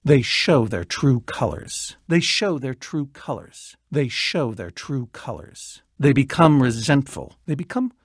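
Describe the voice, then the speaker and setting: A middle-aged man voicing an eccentric, lonely, excitable researcher in a video game, with verbose, pompous, theatrical diction. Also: mid-range voice, a little slow, few pauses, husky, no echo, clean studio sound, exaggerated voice. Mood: wounded, resentful. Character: eccentric, lonely, excitable male researcher obsessed with studying creatures. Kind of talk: animated video game voice acting.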